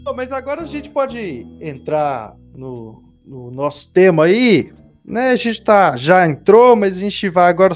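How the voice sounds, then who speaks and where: A woman with a Brazilian accent hosting a podcast in a casual, joking, conversational way. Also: medium pitch. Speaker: podcast host.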